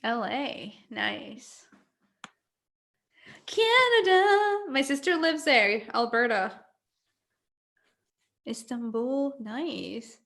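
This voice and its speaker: A woman from Mexico speaks with a Mexican accent, chatting casually on a live video call. She is giggly and talkative, with a voice that sits a little high. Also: expressive, drawn-out words, pauses often, fairly bright tone. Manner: giggly, chatty, laughs often. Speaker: co-host. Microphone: computer mic on a video call.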